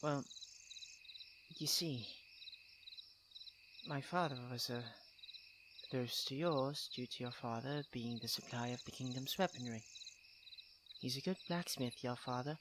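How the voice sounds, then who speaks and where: Over clearly audible background noise comes a proud young prince played by a man in an ASMR roleplay. He speaks slowly in a posh, halting way, teasing and defensive, with a tenderness he tries to hide. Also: medium pitch, close to an everyday voice. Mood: wistful, hesitant.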